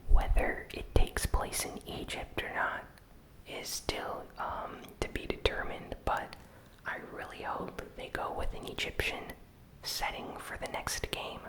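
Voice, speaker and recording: Slow, hushed ASMR whisperer. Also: rough recording. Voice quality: soft unvoiced whisper. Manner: slow, deliberate, pausing between short phrases. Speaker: gaming news host. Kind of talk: ASMR gaming news recap.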